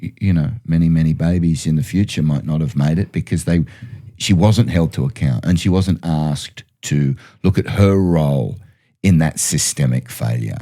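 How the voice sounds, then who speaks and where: A man's voice: very deep voice, moderate pace, dark tone. A man hosting a reflective discussion, repeating phrases for emphasis. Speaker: podcast host.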